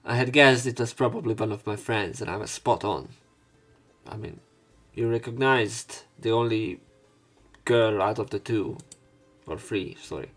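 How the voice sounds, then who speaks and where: A man's voice: on the low side, a little slow, fairly bright tone, faint music behind. A man reading visual novel text aloud and breaking off for casual, sweary let's play commentary. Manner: casual, sweary interjections between read lines.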